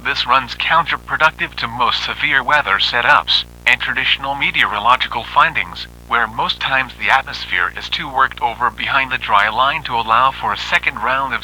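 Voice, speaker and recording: A male weather radio announcer with a very bright, forceful tone reads a formal scripted bulletin. His delivery is impersonal and official. There is faint noise and buzz on the recording. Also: medium pitch, low-quality recording.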